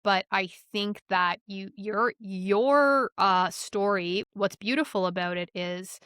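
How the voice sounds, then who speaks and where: A woman's voice: medium pitch, slow, a bit bright, clear, resonant tone, no echo, studio quality. A woman hosting a casual interview, emphatic and swearing, with a lot of "like". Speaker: podcast host.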